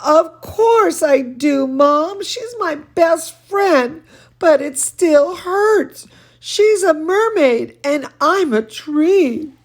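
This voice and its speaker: A woman reading a children's storybook, voicing an earnest, dramatic little girl in a drawn-out, emphatic way. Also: extremely high pitch, lively intonation, pitched above the performer's own voice, heavily acted. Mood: hurt. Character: dramatic, earnest little girl narrator who loves dancing. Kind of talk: children's storybook read-aloud.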